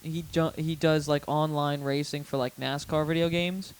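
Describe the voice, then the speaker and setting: A man talks casually as a comedy podcast co-host. His voice is smooth, clear and a touch high. He muses jokingly in loose, conversational banter. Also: fairly even intonation, normal speed. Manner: joking, mock-musing.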